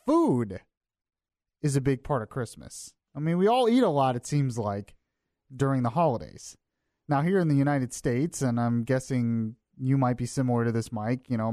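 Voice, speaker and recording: A man's voice: mid-range voice, a little mellow, no echo. A man filling in as host of a morning radio show, chatting casually and laughing often. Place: radio studio. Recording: dry studio mic.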